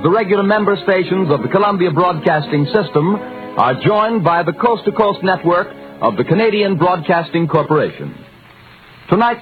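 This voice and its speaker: Tinny old 1930s radio, american guy announcer, highish voice, very formal and declaimed. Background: music.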